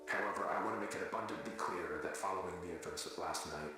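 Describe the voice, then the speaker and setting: A man playing a grave research institute official in a horror series, giving a measured, formal address. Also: sits low, lightly acted. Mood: grave, apologetic. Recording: echoey hall with loud music. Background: loud music.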